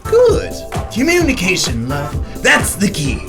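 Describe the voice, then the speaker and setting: Psychopathic clown villain, gleeful, mock-affectionate, wildly animated pitch, radio drama, music behind. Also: male voice, slow pace, heavily acted. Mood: wheedling, grandiose.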